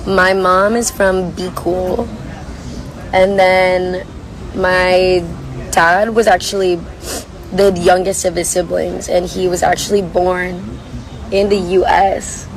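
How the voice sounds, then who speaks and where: A young woman with a hoarse, worn voice chats casually while reading viewer comments on a livestream from a coffee shop. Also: fairly low pitch, level tone, slow, slight background noise, rough recording. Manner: chatty, rambling, reads comments aloud. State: hoarse, lost voice. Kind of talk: casual chat.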